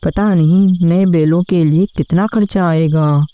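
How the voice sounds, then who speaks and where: A woman's voice: on the low side, steady tone, unhurried, dark tone, close to an everyday voice. A woman voicing a hardworking, kind-hearted farmer husband in a cartoon story, speaking in an earnest, plaintive way. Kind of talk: scripted cartoon story acting. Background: background music.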